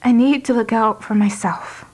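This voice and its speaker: A woman's voice: fairly high pitch, moderate pace, natural voice. A woman acting in an audio drama as a bitter, anguished young wife who wants out of motherhood. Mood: tearful, resigned. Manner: bitter, emotional.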